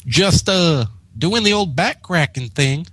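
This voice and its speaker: A man as a flustered country mare, pitched up. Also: animated, a bit bright, husky, dry recording, full character voice. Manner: halting, folksy country speech. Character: flustered young country mare pony, bossy big sister. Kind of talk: comic fan fiction character reading.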